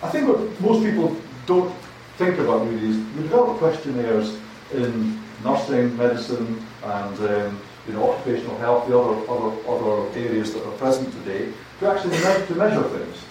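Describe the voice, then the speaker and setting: A man's voice: medium pitch, animated, a little fast, hardly any pauses, darkish tone. An older man with a Scottish accent giving a chatty, self-deprecating university lecture, often repeating words. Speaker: guest lecturer. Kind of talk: academic lecture. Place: large lecture hall. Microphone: handheld mic, heard from a distance. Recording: echoey hall, distant mic, faint noise.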